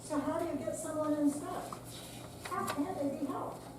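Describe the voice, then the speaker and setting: In a very echoey room with noticeable noise, an older actress plays a supportive woman friend in a stage reading. Her voice sits a little high and comes from away from the mic, with an emphatic, curious delivery. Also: normal speed, distant mic, natural voice. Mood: concerned, questioning. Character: curious, supportive female friend asking blunt questions. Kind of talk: scripted dramatic reading. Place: senior center room, live performance.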